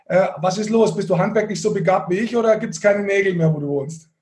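A man's voice: high voice, brisk, darkish tone. A man hosting a sports podcast, chatting casually with a teasing, sarcastic manner. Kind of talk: casual bantering podcast chat.

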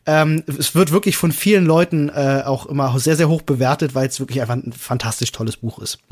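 A man chats as a podcast co-host. His delivery is colloquial and halting, as he feels his way to the right word. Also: on the high side. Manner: hesitant, searching for words, colloquial. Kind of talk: casual podcast chat.

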